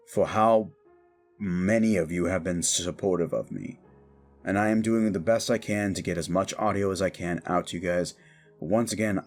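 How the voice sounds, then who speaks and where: A man hosting his audio series, chatting informally and effusively to his listeners. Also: sits low. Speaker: audio roleplay creator and host. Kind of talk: casual outro chat to listeners. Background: faint music.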